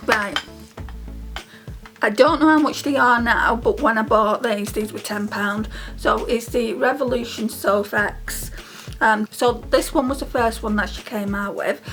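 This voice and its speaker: British woman vlogger, higher-pitched, chatty. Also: fairly bright tone. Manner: rambling, chatty, addressing viewers directly. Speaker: beauty vlogger. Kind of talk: casual chatty makeup vlog.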